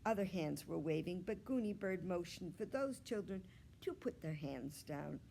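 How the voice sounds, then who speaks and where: A woman narrating a children's storybook as a library read-aloud, reading carefully and deliberately. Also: fairly low pitch, lively intonation, vocal fry, mellow. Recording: faint background noise.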